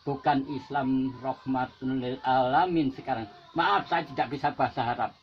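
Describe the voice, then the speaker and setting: Give me an old Javanese veteran's impassioned speech. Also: male voice, medium pitch, fairly even intonation, moderate pace, a little hiss or hum. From Java. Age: older. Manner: preaching, repetitive exhortation, rising to emphatic peaks. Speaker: independence war veteran. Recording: dull lo-fi recording with faint music.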